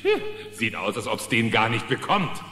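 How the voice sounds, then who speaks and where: Brash, forceful cartoon bear. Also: male voice, medium pitch, sing-song, normal speed. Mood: puzzled. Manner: blustering, bluffing. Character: brash, quick-witted adult bear pilot, cartoon animal. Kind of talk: children's cartoon radio play acting. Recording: echoey hall reverb, faint music.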